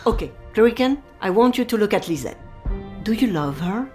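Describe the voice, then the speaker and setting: A woman's voice: mid-range voice, expressive, a little fast, mellow, faint music behind, close to an everyday voice. An actress playing an older French café madame in an audio drama, wry, wise and motherly, with a coaxing, theatrical way of commanding. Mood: gently commanding.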